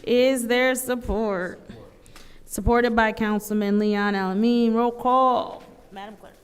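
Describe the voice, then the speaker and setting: Woman, committee chair; smooth, clear voice, measured procedural delivery in a reverberant chamber. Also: on the high side, fairly even intonation. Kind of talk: formal meeting procedure. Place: city council chambers. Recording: long hall reverb.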